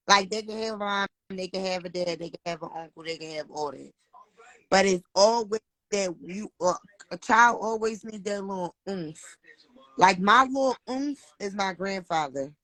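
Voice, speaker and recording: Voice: mid-range voice, unhurried, bright, forceful tone, no echo, rough recording. A host giving earnest, encouraging advice in a casual chat, repeating phrases for emphasis.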